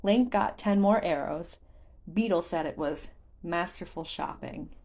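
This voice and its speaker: Female audiobook narrator, slightly low register, for scripted fantasy storytelling. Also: unhurried, a little mellow.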